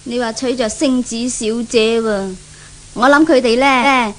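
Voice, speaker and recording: A frank, gossipy maid in a 1970s radio play. She speaks in a high voice with a Hong Kong Cantonese accent, grumbling like a long-suffering servant. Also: slow pace, a bit bright, poor audio. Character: outspoken, gossipy maidservant who grumbles about her mistress.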